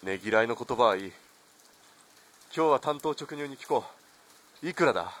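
A man's voice: medium pitch, expressive, normal speed, a bit bright. A man playing a corrupt, callous army lieutenant colonel in a radio drama, haughty and commanding. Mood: smug, commanding.

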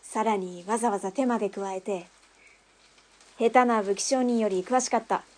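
An actress playing a tough, brusque female underworld boss and mother in a radio drama, speaking in a rough, mannish, commanding way. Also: mid-range voice, steady tone, moderate pace, close to an everyday voice. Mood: proud. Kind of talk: Japanese radio drama acting.

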